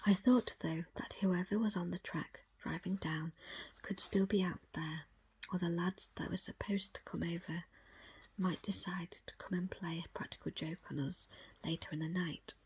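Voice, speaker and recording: A woman reads a first-person story aloud in a hushed, unvoiced ASMR whisper, measured and breathy. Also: lowish voice, fairly even intonation. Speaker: audiobook reader.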